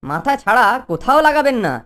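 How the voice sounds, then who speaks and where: A woman voicing a cheeky, enterprising schoolboy in a children's cartoon, with brisk salesman's patter. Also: high-pitched, sing-song, a bit bright. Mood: selling. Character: cheeky enterprising schoolboy sidekick selling tonic. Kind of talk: exaggerated children's cartoon acting. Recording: music behind the voice.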